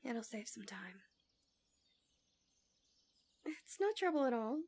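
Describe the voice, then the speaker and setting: A woman voicing a gentle, coaxing, subtly manipulative fantasy villain in an intimate ASMR roleplay, soft and breathy, often near a whisper, close to the mic. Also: fairly high pitch, big pitch swings, slow pace, exaggerated voice. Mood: gentle, soothing. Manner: soothing, doting, teasing.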